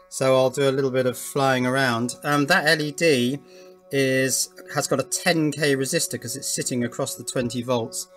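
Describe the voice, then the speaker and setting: A British man presenting an informal hands-on demonstration, thinking aloud with an enthusiastic manner. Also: medium pitch. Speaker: electronics hobbyist presenter.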